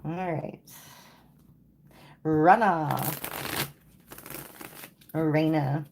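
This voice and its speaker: A woman's voice: low-pitched, very slow, creaky, background noise. A woman giving a live tarot reading to viewers, casual and chatty, tired and saying she is losing her voice. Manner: casual, repeats "okay?" and "like". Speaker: tarot reader.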